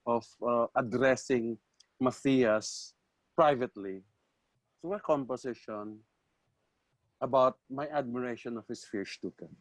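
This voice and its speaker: A man's voice: mid-range voice, measured pace, long pauses, no echo, rough recording. A man hosting an online talk among composers, reflective, often repeating words as he recalls things. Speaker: composer and host. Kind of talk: casual online chat. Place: video call. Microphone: video call.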